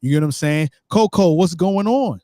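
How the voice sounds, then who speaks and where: A man from Brooklyn hosts a live stream in a relaxed New York accent. He talks loosely and repeats his catchphrases. His voice is close and dry, with little room around it. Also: high-pitched, moderate pace, dry recording. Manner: laid-back, repeats catchphrases like "you get what I'm saying". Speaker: host. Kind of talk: casual live-stream interview.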